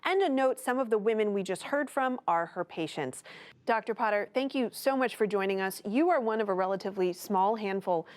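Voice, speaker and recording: A woman correspondent reading a scripted news report in a measured, clear way, then introducing a guest. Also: mid-range voice. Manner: measured, clear newsreader delivery.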